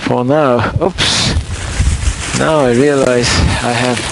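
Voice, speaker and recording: Slow-talking Japanese-accented man outdoors. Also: medium pitch, steady tone, gravelly, noisy background. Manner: rambling, self-correcting, chuckles often. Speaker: vlogger. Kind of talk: casual narration while working outdoors. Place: hilltop clearing outdoors in cold weather.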